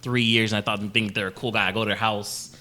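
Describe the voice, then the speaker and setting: A man's voice: fairly low pitch, flat delivery, fast, a crowd in the background. A man born in Haiti hosting a casual podcast, telling stories in a rambling way with lots of fillers and restarts. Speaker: podcast host.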